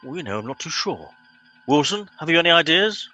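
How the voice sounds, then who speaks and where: A man's voice: fairly high pitch, big pitch swings, a little fast, sharp tone, no room sound, lo-fi sound, soft background music, heavily acted. A man playing a pompous, impatient English spaceship captain in a comic radio play. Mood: self-important. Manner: pompous, officious, exasperated.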